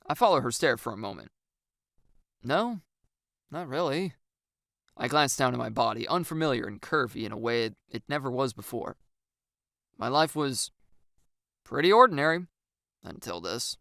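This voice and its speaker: An audiobook narrator tells a first-person story and acts out the lines with wry expression. The voice has a noticeable creak and sits close, with no room sound around it. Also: mid-range voice, very animated, normal speed, pauses often, fairly bright tone, dry recording.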